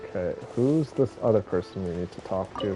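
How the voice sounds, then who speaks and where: A man's voice: low-pitched, expressive, a little fast, music in the background. A man giving casual gameplay commentary, thinking aloud and talking to his viewers. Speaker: game streamer playing and commenting.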